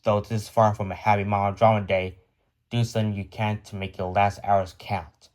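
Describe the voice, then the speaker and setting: Male actor as solemn emergency broadcast announcer, lowish pitch, formal and grave, scripted end-of-world bulletin. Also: little pitch movement, moderate pace, a little mellow, natural voice. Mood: solemn, regretful. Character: grave radio and TV announcer giving doomsday broadcast.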